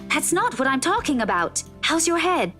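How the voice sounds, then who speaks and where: Woman acting a high, fretful young woman. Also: sing-song, normal speed, sharp tone, soft background music, full character voice. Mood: stern concern. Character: worried, scolding young woman protective of her friend. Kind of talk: visual novel character read-aloud.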